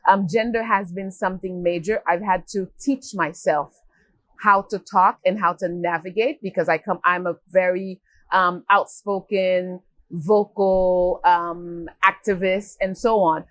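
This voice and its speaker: A woman from Haiti who works for an NGO, giving earnest interview answers with frequent fillers and pauses. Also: lowish voice, fairly even intonation, a bit bright, dry recording, low-quality recording. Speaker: NGO worker.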